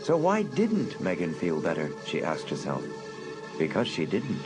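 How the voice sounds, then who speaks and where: A man narrating a dramatised audiobook in an expressive storytelling manner. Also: deep voice, measured pace, over music.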